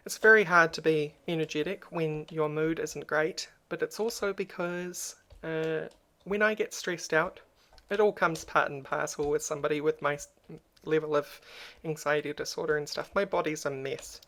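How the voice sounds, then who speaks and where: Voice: medium pitch, fairly even intonation, moderate pace, hardly any pauses, fairly bright tone. A YouTuber with a crackly voice, talking in a subdued and hesitant way in a personal vlog, with frequent ums. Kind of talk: personal vlog talk to camera.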